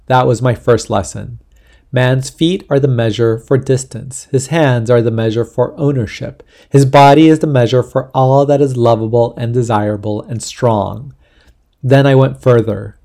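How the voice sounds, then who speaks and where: Male reader as an earnest young rebel, forceful and declaiming, gravelly voice. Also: mid-range voice.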